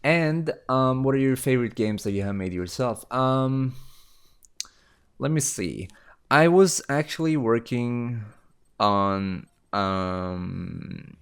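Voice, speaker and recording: A man's voice: mid-range voice, slow, gravelly, clean tone. A man in his late teens answering viewer questions in a casual, rambling way, with many fillers and restarts. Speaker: YouTube creator. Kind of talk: casual Q&A chat.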